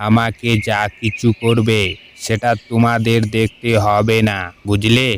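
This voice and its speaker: Man playing a steady, even-toned old grandfather. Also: lowish voice, a little slow, slight background noise, lightly acted. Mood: firm, annoyed. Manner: plain, steady, fatherly. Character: gentle, protective old village grandfather.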